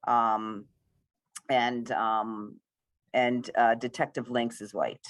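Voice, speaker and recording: A woman's voice: a bass voice, level tone, slow, dry recording. A woman screenwriter talking casually in a question-and-answer session, rambling and full of praise for her actors. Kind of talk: casual post-reading Q&A talk.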